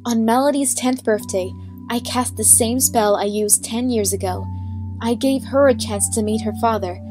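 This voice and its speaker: A woman's voice: a little high, moderate pace, music in the background, close to an everyday voice. A woman playing a solemn, wistful pony heroine, narrating a scripted story. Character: solemn, wistful pony heroine recounting her friends' lives.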